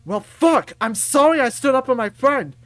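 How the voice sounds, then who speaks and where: A woman voicing a furious, defiant young male fighter in a visual novel, shouting, swearing and confrontational. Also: sits high, lively intonation, normal speed, no room sound, voice pushed up.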